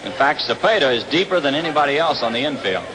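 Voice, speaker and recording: A man's voice: medium pitch, animated, quick pace, crowd noise. A man with an American accent calls live baseball play-by-play on a noisy 1960s radio broadcast from an outdoor ballpark. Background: loud ambient ballpark noise.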